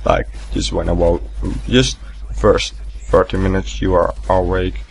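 A young man giving casual gameplay commentary, rambling and swearing, with a deep, gravelly morning voice. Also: little pitch movement, unhurried, slight background noise. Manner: rambling, swearing, laughing at himself. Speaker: gaming commentator.

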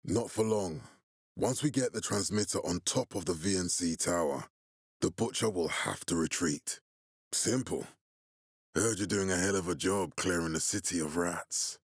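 Game militia commander: male, low husky voice, stern, measured, fatherly authority, dry close sound. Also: dry recording. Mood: calm, firm. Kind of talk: video game voice acting.

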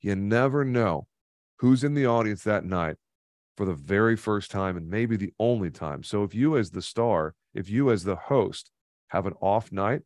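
A man's voice: on the low side, darkish tone, dry recording. Emphatic man on a studio mic. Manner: emphatic, coaching, repeats key points. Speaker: podcast guest. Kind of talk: conversational webinar interview. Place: home studio with neon sign. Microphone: studio mic on boom arm, headphones.

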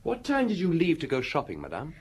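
An actor playing a keen, deferential junior police inspector in a radio drama, brisk and conversational. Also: male voice, a little high, huge pitch swings, breathy edge, full character voice. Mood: polite questioning. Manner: conversational, reporting to his superior. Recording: radio drama with background ambience noise.

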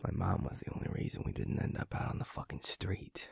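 A man playing a tender, overwhelmed young werewolf boyfriend in an intimate audio roleplay, husky and gravelly, murmuring haltingly to a partner. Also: no room sound, studio quality, close to an everyday voice. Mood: reflective, vulnerable.

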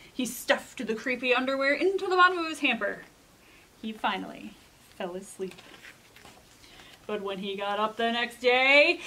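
A woman's voice: high voice, sharp tone, low-quality recording. A young woman working as a children's librarian, speaking theatrically and emphatically to young listeners. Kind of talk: dramatic storybook reading and chatty explaining to children. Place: children's library room, indoors.

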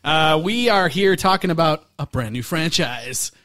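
High, bright-voiced male podcast host. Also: unhurried. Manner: animated, laughs often. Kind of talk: casual chat.

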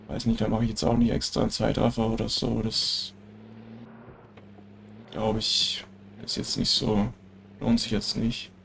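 German guy with a cold, stuffy nose, gravelly and slow, chatty game commentary, bit of hiss behind. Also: on the low side. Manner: relaxed, chatty, frequent filler tags. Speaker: let's play gamer. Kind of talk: casual gameplay commentary.

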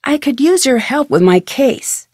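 A woman's voice: high voice, lively intonation, a little slow, darkish tone, no echo, clean studio sound. A young woman voicing a friendly, curious, plucky amateur detective in a video game, with earnest, conversational delivery. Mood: thoughtful, curious.

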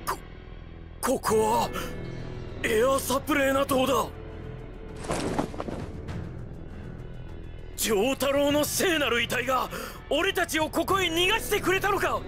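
A man voicing an earnest, startled young gentleman adventurer in an anime video game, explaining excitedly. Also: very high voice, moderate pace, long pauses, music in the background. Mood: astonished.

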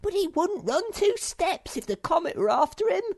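Squeaky, whiny Sniff. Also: very animated, normal speed, darkish tone, exaggerated voice.

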